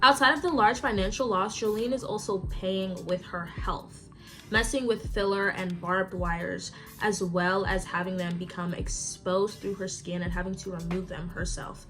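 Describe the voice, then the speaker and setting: Woman doing commentary voice-over, mid pitch, wry, music playing under. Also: fairly even intonation, moderate pace. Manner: wry, opinionated. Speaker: commentary youtuber. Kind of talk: scripted commentary narration.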